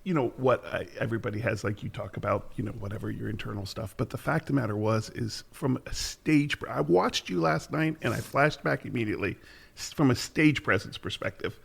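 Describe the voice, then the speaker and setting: A man's voice: mid-range voice, fairly quick, gravelly, a bit bright, slightly rough. A man co-hosting a podcast, reminiscing enthusiastically in casual chat and often restarting his sentences. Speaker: podcast co-host.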